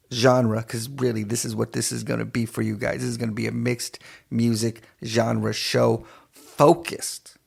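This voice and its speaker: A man from East Los Angeles hosting a radio show in a laid-back, halting way. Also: mid-range voice, creaky, slightly rough. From East Los Angeles, United States. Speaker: radio DJ and host.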